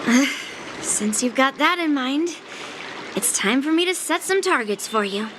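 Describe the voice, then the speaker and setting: A woman's voice: high voice, lively intonation, a little slow, noisy background. A woman playing a blunt, self-assured young female sword master with a teasing manner in an anime-style game. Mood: stern. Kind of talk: English anime game voice acting.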